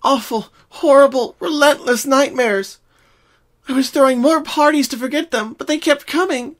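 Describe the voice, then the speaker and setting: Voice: high voice, normal speed, voice pushed up, lightly acted. A performer voicing a frightened, exhausted young female pony with a quivering, pleading voice in a cartoon fan reading. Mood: anguished. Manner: whiny, dramatic, pleading.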